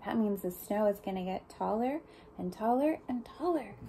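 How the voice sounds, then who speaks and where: A woman's voice: mid-range voice, expressive, moderate pace, noisy background. A woman reading a picture book aloud to young children, speaking expressively and putting questions and asides to the listeners. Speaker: storybook reader for young children.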